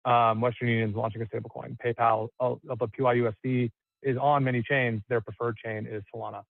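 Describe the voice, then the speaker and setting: A man's voice: medium pitch, flat delivery, fairly quick, creaky, dry recording, narrow, tinny audio. A man speaking as a company executive, giving unscripted answers on an earnings call. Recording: band-limited conference call audio, dry.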